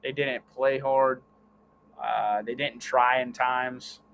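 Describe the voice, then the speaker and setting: A man's voice: mid-range voice, little pitch movement, slow pace, vocal fry, phone-quality sound, slight background noise. A man hosting a sports livestream, mixing live play-by-play with casual, teasing banter. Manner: banters and teases his co-host.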